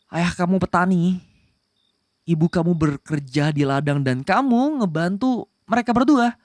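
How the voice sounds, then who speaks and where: A man playing a young demon prince in a romantic ASMR roleplay, soft-hearted but bossy, with flustered, possessive tsundere manners. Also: high voice, no echo, studio quality. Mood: wistful, soft. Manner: stammering, flustered denials, possessive commands.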